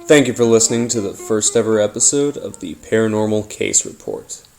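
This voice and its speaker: A man hosting a paranormal podcast, telling his story informally in a halting, rambling, conspiratorial way. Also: fairly low pitch, darkish tone, soft background music. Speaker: podcast host. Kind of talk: informal first-person storytelling monologue, paranormal audio drama.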